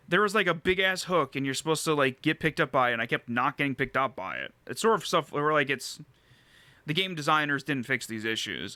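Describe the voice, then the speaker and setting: Bright, clear-voiced man rambling casually. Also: medium pitch, dry recording. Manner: rambling, halting, casually profane. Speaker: video game reviewer and streamer. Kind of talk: casual unscripted ranking commentary.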